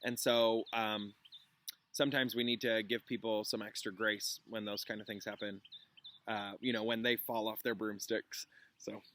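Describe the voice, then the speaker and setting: A man's voice: lowish voice, flat delivery, moderate pace, bright, forceful tone. A man, a classroom teacher, reading a story aloud and adding explanatory asides.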